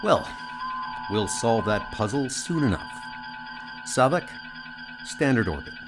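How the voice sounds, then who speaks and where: Man as starship captain, authoritative, direct, animated range, music underneath, comic audio drama. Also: mid-range voice, slow. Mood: commanding. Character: commanding, caring male starship captain.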